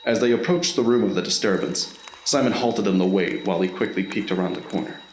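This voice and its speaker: A man's voice: low voice, fast, a little hiss or hum. A man reading scripted narration for an audio drama story. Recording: roomy, echoing voice recording.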